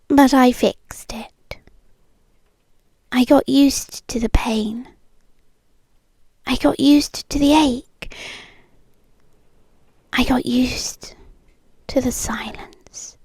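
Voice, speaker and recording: A British woman playing a possessive, eerily calm yandere ex-girlfriend in an intimate, partly whispered ASMR roleplay, cooing slowly and condescendingly with soft menace. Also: high-pitched, no room sound. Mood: wounded, longing. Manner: slow, deliberate, softly menacing, condescending. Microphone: close microphone, dry.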